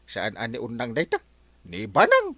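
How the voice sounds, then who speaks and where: A loud, mocking husband in a radio comedy. His voice leaps wildly up and down with every exclamation. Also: mid-range voice, wildly swooping pitch, moderate pace, no echo, full character voice.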